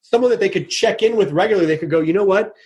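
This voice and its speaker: A middle-aged male host with a fairly high voice talks in a loose, casual way. He circles his thoughts with restarts and little fillers. When he plays out what someone might say, his voice lifts and gets lively. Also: rapid-fire. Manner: thinks aloud with many restarts, animated when quoting. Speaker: podcast host. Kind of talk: casual conversational interview.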